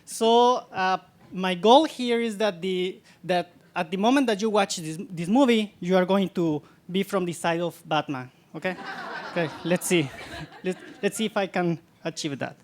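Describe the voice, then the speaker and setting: A man from Guatemala with a Guatemalan accent giving a public science talk, halting often and repeating words as he searches for them. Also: high-pitched, a little hiss or hum. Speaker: guest lecturer, scientist.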